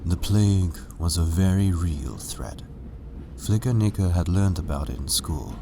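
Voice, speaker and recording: Deep-voiced male story narrator. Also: animated, unhurried, background noise. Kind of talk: scripted fan fiction narration.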